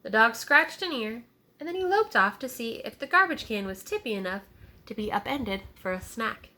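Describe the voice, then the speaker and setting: A woman teacher reading a picture book aloud to young children, deliberate and child-directed, pausing to explain words and ask simple questions. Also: a little high, very animated, pushed and bright.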